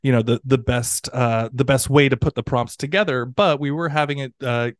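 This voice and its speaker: A man speaks as a podcast guest, loosely and conversationally, full of fillers, restarts and little acted-out quotes. His voice sits at an ordinary middle pitch. The recording is dry and close, with no room sound. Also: moderate pace, no echo. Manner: rambling, many fillers and restarts, quotes others in his stories. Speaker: ESL professor and guest. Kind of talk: casual interview talk.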